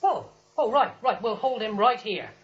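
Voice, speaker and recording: An actor playing a brisk, no-nonsense police officer giving orders in a 1940s radio drama. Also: male voice, high-pitched, animated, breathy edge. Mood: businesslike. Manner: businesslike, ordering. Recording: muffled old 1940s radio recording.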